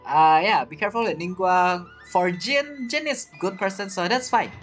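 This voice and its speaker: A woman streaming a game with casual, halting, joking commentary, talking back to the characters. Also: medium pitch. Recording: game music behind.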